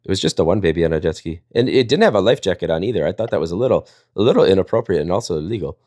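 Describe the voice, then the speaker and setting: Low-voiced guy, fast-talking playful storyteller, very dry close sound. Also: expressive, a little mellow, dry recording. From Wisconsin, United States. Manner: rambling, jokey, playful. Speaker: storyteller and live panel host. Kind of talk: casual chat and storytelling.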